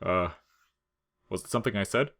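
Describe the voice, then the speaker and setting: A man's voice: sits low, normal speed, fairly bright tone. A man voicing a casual, wisecracking male skeleton who teases and dodges, in a storybook reading. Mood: awkward. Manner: casual, flippant, slangy. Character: laid-back wisecracking skeleton man, teasing and evasive.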